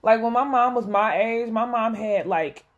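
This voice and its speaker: A woman's voice: a little high, normal speed. A woman, hosting a frank, profane, laughing chat with friends. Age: 30s. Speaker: host. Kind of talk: casual chat.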